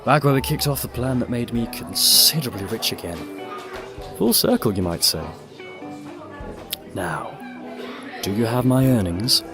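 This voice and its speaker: A man's voice: medium pitch, lively intonation, measured pace, long pauses, music in the background, lightly acted. Man acting a pompous, lilting noble schemer. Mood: smug. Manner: affected, pompous. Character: vain, theatrical, scheming nobleman swindler. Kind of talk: audio drama acting.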